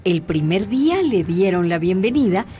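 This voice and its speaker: A woman narrating a radio drama in a calm, even way. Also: fairly low pitch, moderate pace. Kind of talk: scripted narration. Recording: clear music underneath.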